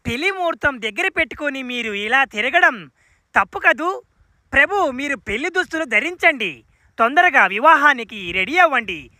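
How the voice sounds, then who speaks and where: A formal young cartoon maiden, acted by a woman, high and bright, with lively swings of pitch. She addresses her listener in a courtly, respectful and reproachful manner. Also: normal speed, dry recording. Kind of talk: amateur cartoon dub.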